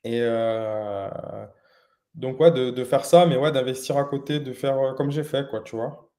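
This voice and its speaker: A young man talking casually as the guest in an interview, his voice mellow and relaxed. He hesitates often, restarting phrases and filling gaps as he goes. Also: medium pitch, unhurried. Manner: hesitant, many fillers and restarts. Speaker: interviewed entrepreneur.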